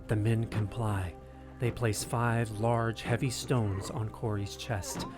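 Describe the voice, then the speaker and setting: A man delivering scripted dramatic narration for an audio drama in a grave, deliberate way with suspenseful phrasing. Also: lowish voice, little pitch movement. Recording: narration over prominent music.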